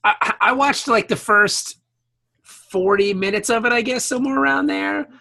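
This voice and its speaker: A man's voice: very high voice, normal speed, vocal fry, sharp tone. Effusive, teasing male podcast host. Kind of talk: casual podcast banter.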